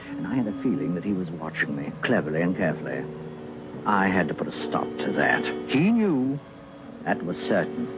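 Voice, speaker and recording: A man's voice: lowish voice, lightly acted. A man acting a fussy, secretive, murderous British doctor in an old-time radio drama, hesitant and muttering. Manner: hesitant, muttering, falsely genial. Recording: dull old radio recording with music.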